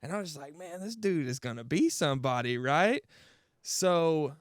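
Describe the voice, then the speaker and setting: Upbeat host man, higher smooth voice. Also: very animated, moderate pace, no echo, clean studio sound. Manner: laid-back and upbeat, peppers talk with "man". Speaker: interview host. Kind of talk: casual interview chat.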